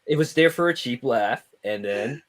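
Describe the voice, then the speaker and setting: Male panelist, medium pitch, informal conversational delivery. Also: animated, moderate pace. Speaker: discussion show panelist. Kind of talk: casual movie discussion chat.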